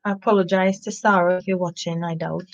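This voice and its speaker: A woman's voice: lowish voice, a little fast, dull audio. A woman hosting a live story time for children, chatting to listeners and reading a picture book expressively. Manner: animated storybook delivery with sniffing and asides to listeners.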